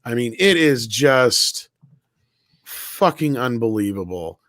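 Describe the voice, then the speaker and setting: Blunt, mid-pitched man, dry sound. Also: animated, slow pace, fairly bright tone, dry recording. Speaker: panelist. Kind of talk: casual panel commentary.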